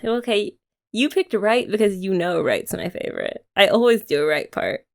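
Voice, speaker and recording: A young woman in an ASMR girlfriend roleplay, talking softly and teasingly to the listener. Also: medium pitch, animated, moderate pace, gravelly. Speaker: ASMR creator.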